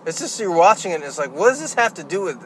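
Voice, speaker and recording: A man's voice: high voice, sing-song, very fast, slight background noise, rough recording. A man co-hosting a casual podcast, chatting bluntly and jokingly with a co-host. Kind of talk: casual conversational podcast chat about movies.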